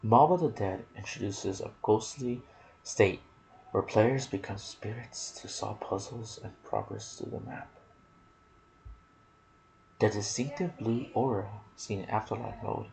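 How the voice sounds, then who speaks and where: Male ASMR gaming narrator, lowish creaky voice, soft near-whisper delivery, reflective asides, audible noise underneath. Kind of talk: ASMR game lore narration with casual asides.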